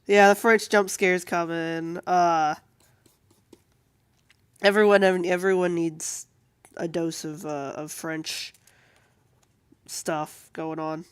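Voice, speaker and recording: A woman artist chatting casually and with amusement on a livestream while she draws, in a rambling, animated way. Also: fairly low pitch, takes it slowly, pauses often, clear, resonant tone, no room sound. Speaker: comic artist streaming while drawing.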